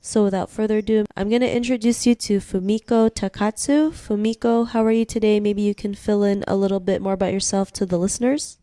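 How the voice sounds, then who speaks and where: A woman's voice: mid-range voice, steady tone, brisk, few pauses, mellow, no room sound, clean studio sound. A young woman hosting an interview podcast, upbeat and formulaic in her questions. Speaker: podcast host.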